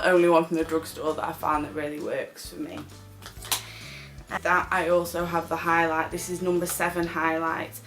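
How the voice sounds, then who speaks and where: A woman's voice: on the low side, a bit bright. A British woman chatting casually as a beauty vlogger reviewing products.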